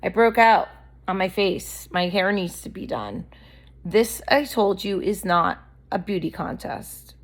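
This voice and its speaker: A woman's voice: mid-range voice, unhurried. A woman vlogger talking straight to camera in a candid, blunt, rambling monologue, her voice a little worn from allergies.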